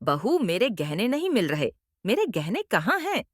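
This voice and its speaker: A woman's voice: medium pitch, wildly swooping pitch, moderate pace, no echo, studio quality. A woman voicing a greedy, domineering middle-aged mother-in-law in a cartoon moral story, with an emphatic, theatrical delivery. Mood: accusing, scolding.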